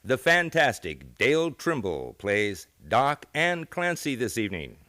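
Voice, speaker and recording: Theatrical radio announcer man, lively swooping delivery. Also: mid-range voice, measured pace, a little hiss or hum. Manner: grandiose, theatrical showmanship. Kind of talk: old-time radio scripted announcing. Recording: live theater show with music.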